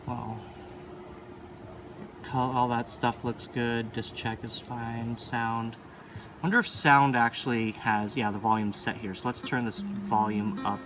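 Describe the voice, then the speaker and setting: A man's voice: medium pitch, little pitch movement, slow pace, noisy background. A man giving casual, unscripted hands-on commentary, rambling a little as he thinks aloud while presenting as a hobbyist. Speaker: arcade machine hobbyist presenter.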